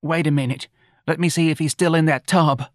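A man's voice: a little high, fairly even intonation, fairly quick, dry recording, clean studio sound, pitched above the performer's own voice, lightly acted. A man playing a plaintive, fretful aging mother in an audiobook, whining. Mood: worried. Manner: whining, aggrieved. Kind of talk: audiobook character dialogue.

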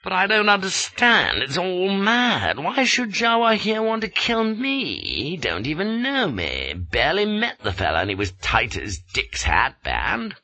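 A man's voice: sits high, wildly swooping pitch, a little slow, hardly any pauses, sharp tone, no echo, voice pushed up, heavily acted. A man voicing a bewildered young British officer who stammers in fluster.

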